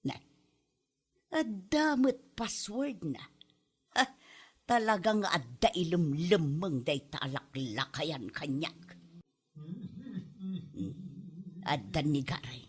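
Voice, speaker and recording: Woman acting nagging, jealous old Ilocano wife, animated. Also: on the low side, husky, heavily acted. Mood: sly, muttering. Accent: Ilocano Filipino. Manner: nagging, accusing, drawn-out exclamations. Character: jealous, hot-tempered elderly wife and mother. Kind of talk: Ilocano comedy audio drama acting.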